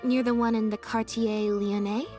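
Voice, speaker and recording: A woman's voice: on the high side, fairly even intonation, normal speed, dark tone, soft background music, natural voice. An actress playing a shrewd, observant woman with a composed, articulate manner in a video game. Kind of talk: English game dub dialogue.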